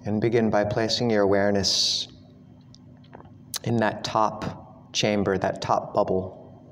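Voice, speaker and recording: A man leads a guided meditation in a low, even voice. He speaks slowly and gently, leaving long pauses between phrases. The recording has long hall reverb and some background noise. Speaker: monk, meditation teacher.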